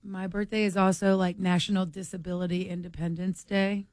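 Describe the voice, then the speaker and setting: A woman's voice: fairly low pitch, little pitch movement, fairly quick, a little mellow, dry recording, studio quality. A woman, co-hosting morning radio and telling stories with laughter. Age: late 30s. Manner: laughing, storytelling, quoting herself. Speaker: radio morning show co-host. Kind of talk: casual on-air banter.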